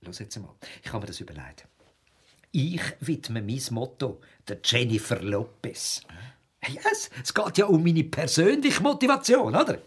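An actor playing a chatty, sarcastic office colleague with a Swiss accent, jovial and rambling, in a radio drama. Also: male voice, mid-range voice, very animated, normal speed, breathy edge. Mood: conspiratorial, sarcastic. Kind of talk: radio drama acting in casual chat.

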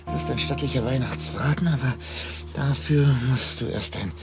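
A man's voice: medium pitch, normal speed, gravelly, music in the background, lightly acted. An actor playing a blustering, sentimental middle-aged father and opera singer in a theatrical German radio play, muttering and groaning to himself. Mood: muttering, coaxing.

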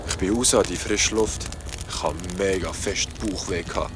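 A man's voice: sits low, moderate pace, noisy background, close to an everyday voice. A young man playing a bewildered, shaken young man in a horror audio drama, hesitant and uneasy as he speaks. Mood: uneasy recounting. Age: young adult. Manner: hesitant, stammering when confused. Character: bewildered, hurting young man recounting a nightmare job.

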